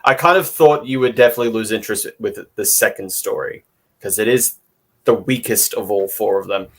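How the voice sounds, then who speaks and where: A man's voice: fairly low pitch, brisk, a bit bright, slightly rough. A man hosting a podcast discussion in a casual, conversational style. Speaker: podcast host. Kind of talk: casual chat.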